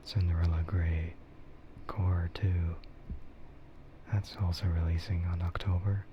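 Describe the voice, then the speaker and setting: Slow, low whispering man, ASMR. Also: flat delivery. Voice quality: soft breathy whisper. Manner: unhurried, meandering, trailing off. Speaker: streamer hosting an ASMR livestream. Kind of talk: ASMR, rambling casual chat about games and anime. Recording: muffled lo-fi sound with clear noise.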